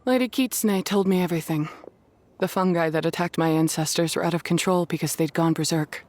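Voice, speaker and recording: A woman's voice: lowish voice, a little fast, a little mellow, natural voice. A woman playing a serious, proud but humbled character in a video game, earnest and subdued. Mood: remorseful.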